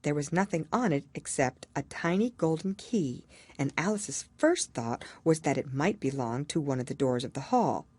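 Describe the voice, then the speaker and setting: A woman reading a storybook aloud as scripted narration, in an expressive, measured storytelling manner. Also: low voice, breathy edge, no room sound. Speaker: audiobook reader.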